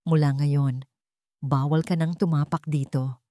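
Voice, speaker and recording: Woman voicing a commanding, protective rich husband, low-pitched. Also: steady tone, measured pace, mellow, no echo, studio quality. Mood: stern anger. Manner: firm, menacing authority.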